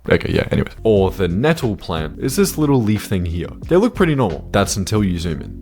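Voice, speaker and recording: A young man narrating comedic video commentary, sarcastic and quick to swear and laugh at his own slips. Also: lowish voice, darkish tone. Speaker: YouTuber.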